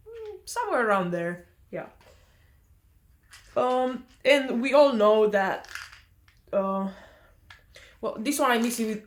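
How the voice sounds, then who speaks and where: A young woman from Romania, chatting casually in a vlog, hesitant with many fillers and self-corrections. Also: medium pitch. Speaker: vlogger.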